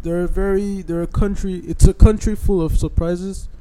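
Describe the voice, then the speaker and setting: A man's voice: high voice, fairly even intonation, moderate pace, background noise. A young man chatting casually as a podcast guest, hesitant and often repeating his phrases. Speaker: podcast guest, aspiring soccer player. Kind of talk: casual chat.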